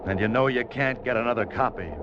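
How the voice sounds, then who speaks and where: An American actor playing a hard-boiled, wry secret agent in a terse 1950s radio thriller style. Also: male voice, medium pitch, quick pace, natural voice. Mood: grim, tense. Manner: terse, clipped, tough. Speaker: lead actor and first-person narrator. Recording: muffled old radio recording, loud music.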